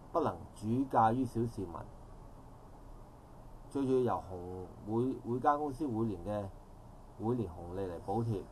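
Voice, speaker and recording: A man's voice: medium pitch, slight background noise, low-quality recording. Rambling middle-aged Hong Kong Cantonese man. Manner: long rambling speech with formal phrasing and self-corrections. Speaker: audience member speaking at a public forum. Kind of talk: impassioned public comment. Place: outdoor park bandstand.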